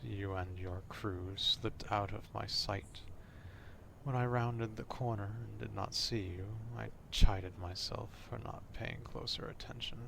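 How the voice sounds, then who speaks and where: A man voicing an obsessive, courtly vampire stalker in an ASMR roleplay, speaking formally and deliberately in a confiding, half-whispered way. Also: lowish voice, creaky, noisy background. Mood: measured, watchful.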